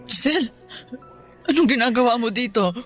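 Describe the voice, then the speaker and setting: A woman's voice: a little high, lively intonation, normal speed, faint music behind. A woman with a Filipino accent playing a bitter, grief-stricken middle-aged mother in a tearful, raw radio drama style. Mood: angry, anguished. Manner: tearful, emotionally raw delivery. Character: grief-stricken, bitter mother mourning her young son.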